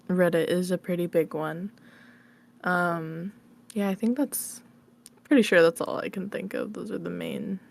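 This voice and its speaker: A woman's voice: on the low side, creaky. A woman chatting casually as an interview guest, informal and tentative, with a self-effacing way of talking. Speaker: interview guest, audio roleplay creator. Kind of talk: casual chat.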